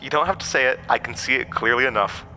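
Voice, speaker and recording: Horror podcast villain, male actor: undying hatted entity, very bright forceful edge, mocking and weary, theatrical, faint noise behind. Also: medium pitch, quick pace, poor audio. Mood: menacing, amused. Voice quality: broken-windpipe voice, borrowed from another man. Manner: mocking, theatrical, cryptic, chuckling asides. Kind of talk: horror audio drama acting.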